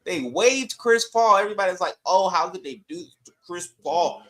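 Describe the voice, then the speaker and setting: A man's voice: high-pitched, animated, moderate pace, a bit bright. A man co-hosting a sports podcast, chatting casually in slangy, emphatic bursts.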